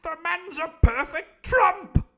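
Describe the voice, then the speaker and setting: A man voicing a red-faced, posh old English squire who bellows his lines slowly and pompously in a posh English accent. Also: animated, fairly bright tone, full character voice. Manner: booming, slow and pompous.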